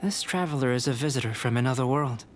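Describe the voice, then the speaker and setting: A man voicing a composed young alchemist in a video game, speaking in a measured, lecturing way. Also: medium pitch, natural voice. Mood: musing. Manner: measured, lecturing, coolly confident. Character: calm young male alchemist scholar, composed and aloof. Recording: studio game voice with music behind. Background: game music.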